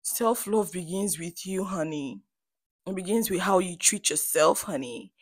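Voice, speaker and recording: Female beauty vlogger, Nigerian Igbo accent, low pitch, dry close sound; chatty, playful, emphatic tutorial delivery. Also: expressive, unhurried, slightly rough, no room sound. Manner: playful, emphatic, addresses viewers directly. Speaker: hair stylist and beauty vlogger. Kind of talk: chatty tutorial voice-over to viewers.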